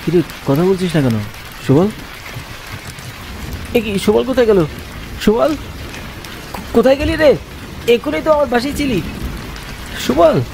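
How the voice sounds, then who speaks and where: A man's voice: extremely high pitch, unhurried, creaky, pauses often, voice pushed up, heavily acted. Man playing earnest sceptical young man, rainy backdrop. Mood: alarmed, searching. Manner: conversational, questioning, earnest. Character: sceptical young city-working man visiting his home village. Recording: rain and thunder noise behind.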